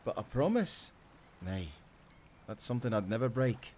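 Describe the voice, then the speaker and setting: An actor playing a devoted, playful sailor husband with a Scottish accent, speaking tenderly in old-fashioned seafaring language for a romantic audio roleplay. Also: male voice, medium pitch, sing-song, normal speed, slight background noise, lightly acted. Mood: playful, earnest. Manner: tender, teasing, given to soft chuckles.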